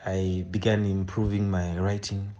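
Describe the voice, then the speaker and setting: A young Zambian man with a fairly low voice and very unhurried pace. He answers interview questions conversationally, pausing and repeating himself as he thinks. Faint music is present. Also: level tone, dark tone, highs cut off. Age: 20s. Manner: hesitant, repeats phrases as he thinks. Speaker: guest poet.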